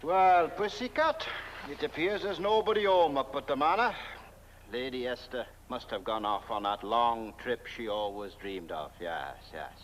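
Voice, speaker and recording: A man's voice: medium pitch, expressive, a little slow, a bit bright, a little hiss or hum, rough recording. An actor playing a kindly local man in a film, musing to his cat and trailing off. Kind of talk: film acting.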